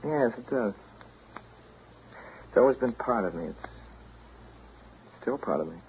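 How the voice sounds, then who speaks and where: An actor playing a reserved, brooding man in a 1930s radio drama, speaking in a measured, reflective way. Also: medium pitch, animated. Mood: reluctant, tender. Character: reserved, brooding New England man telling family memories. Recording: muffled, hissy 1930s radio recording.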